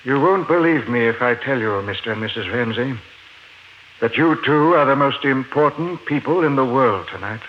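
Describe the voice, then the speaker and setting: An actor playing a formal, insistent former professor turned polite kidnapper, with an American accent in 1950s radio drama style. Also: male voice, mid-range voice, animated, moderate pace, close to an everyday voice. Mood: calm, commanding. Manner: formal, insistent, reassuring while threatening. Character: calm, doom-obsessed former professor, a polite gun-wielding kidnapper. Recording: dull, noisy 1950s radio recording.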